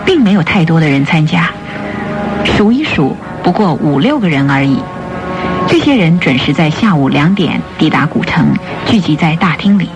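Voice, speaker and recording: A woman's voice: sits low, big pitch swings, moderate pace. A woman narrating a radio drama in a scripted storytelling style. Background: music.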